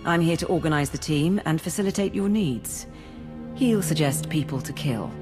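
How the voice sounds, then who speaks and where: A woman playing a brisk, efficient military operations coordinator giving businesslike briefings in a video game. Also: deep voice, animated. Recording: reverberant hall, music behind.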